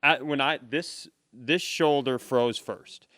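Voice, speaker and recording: A man's voice: medium pitch, takes it slowly, a bit bright, no echo, clean studio sound. A man hosting a comedy podcast, telling personal stories in casual conversation.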